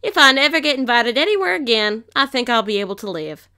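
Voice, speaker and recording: A plainspoken farm pony mare, as a woman reads her out, with a folksy country drawl in a storybook reading. She rants loud and fed up, her voice raised higher and harder than the narration, bright and forceful. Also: big pitch swings, fast talker, exaggerated voice. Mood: resigned.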